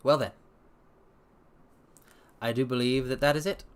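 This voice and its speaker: A man's voice: mid-range voice, fairly even intonation, measured pace, clear, resonant tone. A man giving a dramatic story reading as a streamer, with a theatrical, grandiose way of talking to listeners. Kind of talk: dramatic scripted narration with theatrical asides.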